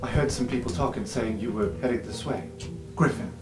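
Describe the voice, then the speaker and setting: A man's voice: medium pitch, moderate pace, close to an everyday voice. A man voicing a theatrical, eager male student in a tabletop game, dramatic and insistent. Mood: earnest, intense. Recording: echoey room, music underneath.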